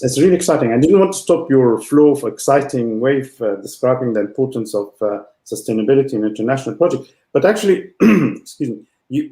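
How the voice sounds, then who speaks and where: Male podcast host, dark mellow voice, halting questions, reverberant room. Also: mid-range voice. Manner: hesitant, many fillers and restarts. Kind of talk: interview questions. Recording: echoey room.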